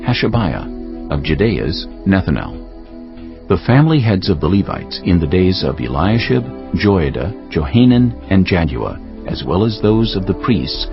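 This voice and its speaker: Very deep, gravelly male scripture narrator. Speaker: audiobook narrator. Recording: slightly dull audio with music behind. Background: clear background music.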